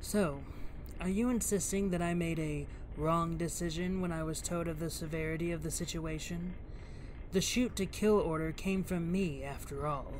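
An actor playing an authoritative police commissioner, diplomatic but stern, with formal and deliberate speech in an audio drama. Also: male voice, on the high side, steady tone, a little hiss or hum, natural voice.

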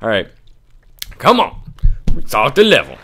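A man's voice: mid-range voice, huge pitch swings, unhurried, a bit bright, husky. A man giving goofy, rambling commentary while playing a video game. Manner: goofy, rambling, self-amused. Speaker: gameplay commentator. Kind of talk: comedic let's play commentary.

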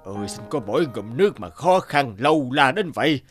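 An actor voicing a vain, spiteful middle-aged feng shui master in a cartoon folk tale, theatrical and grumbling. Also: male voice, medium pitch, big pitch swings, a little fast. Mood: irritated, resentful. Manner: theatrical, muttering complaints, falsely polite. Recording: music behind the voice.

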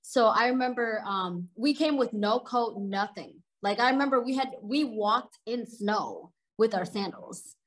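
A woman's voice: on the high side, measured pace, hardly any pauses. Chatty woman in her thirties, Arabic native. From Sudan. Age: 30s. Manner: chatty, laughs while telling stories. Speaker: guest. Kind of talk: casual storytelling interview.

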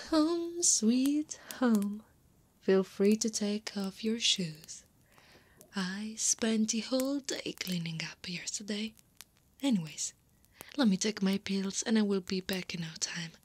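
Woman voicing a slow, breathy, flirty slime monster woman. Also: mid-range voice, lively intonation. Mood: relaxed, flirty. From Italy. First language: Italian. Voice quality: breathy, often half-whispered. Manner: slow, playful, teasing. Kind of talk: intimate ASMR roleplay. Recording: clear background ambience noise.

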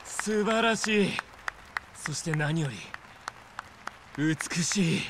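A man's voice: high voice, takes it slowly, a crowd in the background, background noise. An actor playing an elegant, vain male fighter and club owner in a video game, speaking with polished, formal theatricality. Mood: admiring, grandiose. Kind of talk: dramatic video game acting.

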